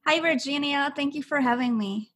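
A woman's voice: high voice, normal speed, fairly bright tone. A young woman from Hungary, a native Hungarian speaker, chatting as an interview guest with many fillers and restarts. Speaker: guest affiliate marketer. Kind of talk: interview answers.

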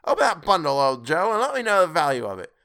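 A man's voice: fairly high pitch, very animated, quick pace, pushed and bright, pitched above the performer's own voice, heavily acted. A man voicing a brazen, defiant working-class woman in a storybook reading, strident and scornful. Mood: scornful, bold.

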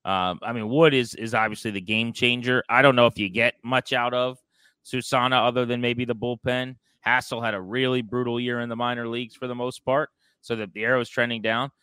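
A man hosts a conversational sports podcast in a bright, punchy voice. His tone is smooth and clear, at a middling pitch for a man. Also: fairly even intonation, a little fast, no echo.

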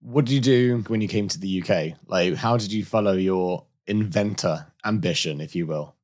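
A man hosting an interview, slightly hoarse, asking friendly, chatty questions. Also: low-pitched, normal speed, darkish tone. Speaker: podcast host, interviewer. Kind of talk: casual interview chat.

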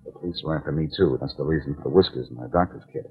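An actor playing a cool, quick-witted detective in a 1940s radio drama, clipped, wisecracking and urgent. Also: male voice, very deep voice, slightly rough, muffled recording, full character voice. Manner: clipped, wisecracking, hard-boiled.

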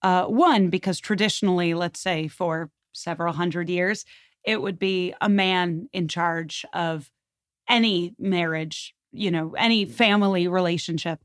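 A woman's voice: fairly low pitch, measured pace, no echo, clean studio sound. A woman podcaster talking in a casual, conversational way. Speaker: podcast host and guest.

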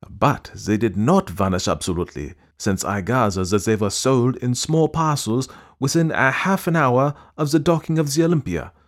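A man voicing a fussy, precise male detective who asks polite, probing questions in a deliberate way. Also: mid-range voice, voice pushed up, close to an everyday voice.

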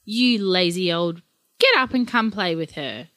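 A woman voicing an exasperated, nagging grandmother, sharp and scolding. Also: lowish voice, expressive, measured pace, no echo. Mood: annoyed.

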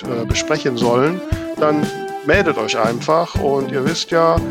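A man hosting a podcast in a casual, chatty style. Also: on the high side. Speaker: podcast host.

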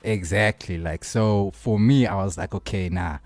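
A man's voice: fairly low pitch, moderate pace, a little mellow, no room sound, faint music behind. A young man with a South African accent co-hosting a casual chat, using slangy, friendly talk. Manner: slangy, addresses friends as "bruh" and "dog". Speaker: podcast co-host.

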